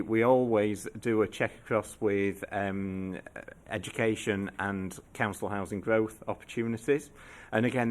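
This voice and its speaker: A man briefing a council committee in a measured, formal way, pausing and restarting often. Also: lowish voice. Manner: measured, halting, frequent restarts. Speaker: council officer.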